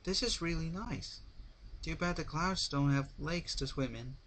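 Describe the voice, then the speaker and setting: A brash young female cartoon pony, voiced by a man, pitched well up from a natural register. The talk is casual, slangy and exclaiming, over clear background noise in an amateur recording. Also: a little slow, fairly bright tone. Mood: casual, grumbling.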